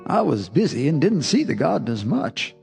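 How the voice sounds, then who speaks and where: Uneasy country farmer narrator, music beneath. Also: male voice, fairly high pitch, very animated, normal speed, vocal fry, darkish tone. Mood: uneasy, reflective. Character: rural farmer neighbor recounting uncanny events, uneasy, plainspoken. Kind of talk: dramatic radio play narration. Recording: music under the voice.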